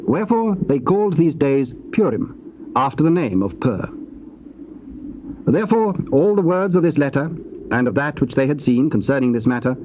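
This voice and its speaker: A man gives a solemn, measured, declamatory narration of scripture. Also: on the high side, very animated. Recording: dull tape with faint noise.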